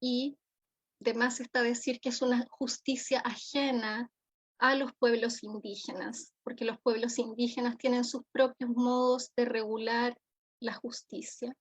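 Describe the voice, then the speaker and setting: A woman with a Chilean accent delivering a formal keynote lecture as a psychologist, in measured, solemn, deliberate phrasing. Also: a little high, flat delivery, husky. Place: remote online conference. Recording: dull, lo-fi audio.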